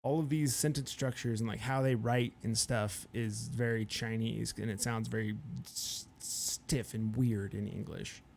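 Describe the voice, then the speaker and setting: A man's voice: on the low side, steady tone, measured pace, creaky, few pauses, a little hiss or hum. A man co-hosting a live stream, casually relaying a story he read online. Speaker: podcast co-host. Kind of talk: casual chat.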